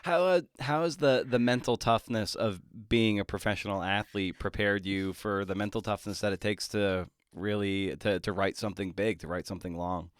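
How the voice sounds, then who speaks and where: A man hosting a conversational podcast interview, forming his questions haltingly with repeated words. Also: fairly low pitch, fairly even intonation, clear, resonant tone, no echo, studio quality. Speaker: podcast host interviewing a writer.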